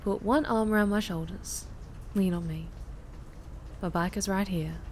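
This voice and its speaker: A woman's voice: medium pitch, measured pace, darkish tone, slight background noise, lightly acted. A woman playing a fierce, flirtatious vampire biker with a Southern US accent, teasing and protective in an intimate ASMR roleplay. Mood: concerned, protective.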